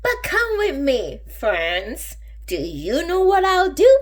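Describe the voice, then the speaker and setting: Woman playing a slick high-voiced salesman, swooping pitch. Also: huge pitch swings, slow pace, full character voice. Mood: sly, reassuring. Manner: persuasive showman's pitch. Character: slick, upbeat male travelling salesman and fixer.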